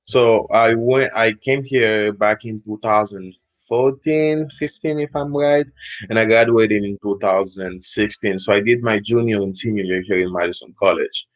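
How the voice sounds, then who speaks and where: Young man with low, relaxed speech. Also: normal speed, lo-fi sound. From Equatorial Guinea. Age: young adult. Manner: relaxed, rambling with fillers. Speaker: student guest. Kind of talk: casual interview answers.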